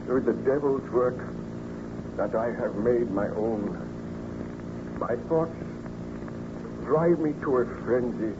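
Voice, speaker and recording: An actor playing a tormented, guilt-ridden scientist in an old-time radio drama, melodramatic and formal. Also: male voice, mid-range voice, close to an everyday voice. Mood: despairing. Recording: muffled, noisy old radio recording.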